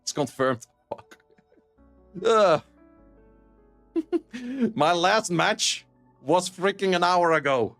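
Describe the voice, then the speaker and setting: Dutch guy chatting on stream, super direct, swears a lot, laughs a bunch, kinda higher voice. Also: animated, drawn-out words, long pauses, fairly bright tone, soft background music. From Netherlands. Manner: blunt, swears freely, laughs often. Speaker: streamer and esports caster. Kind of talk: casual live-stream chat reading viewer messages.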